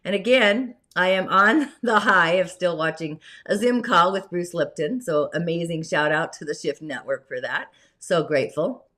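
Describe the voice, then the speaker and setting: Female host, hoarse today, low-ish voice, meandering and soft-trailing spiritual talk. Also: moderate pace.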